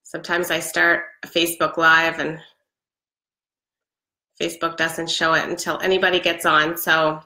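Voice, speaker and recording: A woman's voice: low-pitched, flat delivery, moderate pace, pauses often, sharp tone. A woman in her forties with a head cold, chatting casually on a live stream from her living room, upbeat with plenty of ums. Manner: chatty, upbeat, many ums, laughs often. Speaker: product seller. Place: living room with a Christmas tree. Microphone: webcam at close range. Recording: echoey room.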